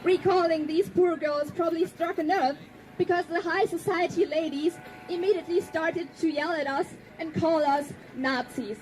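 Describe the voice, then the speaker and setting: High-pitched woman giving a speech. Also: slight background noise, low-quality recording. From Germany. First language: German. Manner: earnest, pointed, slows down for emphasis. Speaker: activist speaker. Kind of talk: prepared speech to an audience.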